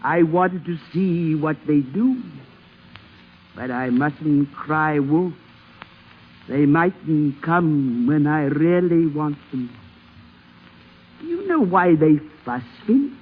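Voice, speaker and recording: A husky-voiced ancient grandmother, imperious and shrewd, drags out her needling questions with big swoops of pitch. It is vintage radio drama with a dull, aged sound. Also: lively intonation, very slow, long pauses, heavily acted. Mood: fretful, sly. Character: very old matriarch grandmother, shrewd, fretful, commanding. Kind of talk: 1950s radio drama acting.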